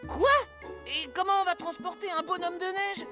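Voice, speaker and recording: A woman's voice: extremely high pitch, steady tone, moderate pace, loud music behind the voice. A woman voicing a practical, easily exasperated little boy in a children's cartoon, matter-of-fact and childlike. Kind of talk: French children's cartoon dub.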